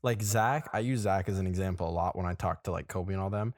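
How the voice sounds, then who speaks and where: Twenty-something guy on a podcast, lively storytelling, low-ish voice, dry mic. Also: fast, dry recording. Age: 20s. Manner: animated storytelling, acts out quoted reactions. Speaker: podcast co-host. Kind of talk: casual chat. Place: small room.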